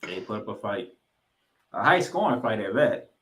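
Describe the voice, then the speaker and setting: A man co-hosting a sports podcast, recapping football games with emphatic, drawn-out phrases that he repeats for effect. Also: on the low side, sing-song, sharp tone. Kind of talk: football game recap commentary.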